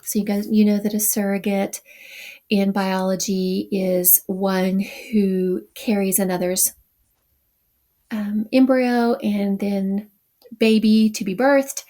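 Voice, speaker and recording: A woman's voice: medium pitch, flat delivery, slow pace, warm tone. A woman teacher reading a text aloud to her students, stopping for wry asides and explanations. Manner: reads passages then adds wry asides.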